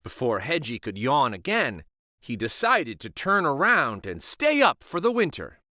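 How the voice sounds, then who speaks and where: A man hosting a children's read-aloud, narrating a storybook in a slow, expressive and soothing way. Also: medium pitch, dry recording, clean studio sound.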